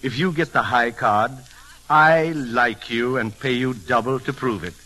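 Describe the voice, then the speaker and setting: An actor playing a genteel, slyly innocent deacon, formal, polite and unctuous, in old-time radio comedy style. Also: male voice, medium pitch, a little slow, pushed and bright, rough recording. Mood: sly, genial. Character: genteel, pious-sounding card sharp deacon, slyly innocent.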